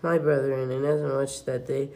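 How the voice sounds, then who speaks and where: A young reader reading scripture aloud haltingly, stumbling over words and correcting them. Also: mid-range voice, level tone, creaky, a crowd in the background. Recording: noisy home recording.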